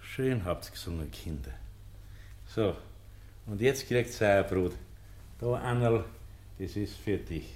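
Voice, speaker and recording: A man playing a gentle, patient monk with a Bavarian accent in a German radio drama, mild and unassuming in manner. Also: fairly low pitch, expressive, slow, pauses often. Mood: kindly. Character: gentle, patient Capuchin lay brother feeding the poor.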